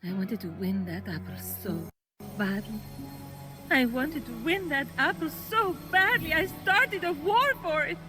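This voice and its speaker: Very high, dramatic goddess. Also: female voice, expressive, measured pace, over music. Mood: remorseful. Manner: theatrical, emotional. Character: anguished, dramatic goddess of love.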